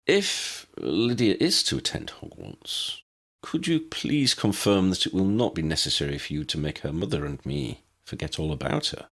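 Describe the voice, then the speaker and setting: A man acts out an eccentric old gentleman in an audiobook, low-pitched and pompous. He speaks in ornate, courteous sentences with wide, mischievous swings of intonation. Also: big pitch swings, moderate pace, full character voice. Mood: anxious, polite. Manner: formal, ornate, pompous diction.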